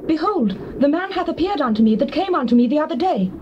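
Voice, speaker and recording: A woman playing a devout, earnest wife in a dramatized scripture recording, with measured, theatrical, formal speech. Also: sits high, animated, a little mellow. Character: devout, earnest wife, awed yet sensible. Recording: dull, slightly hissy old cassette tape.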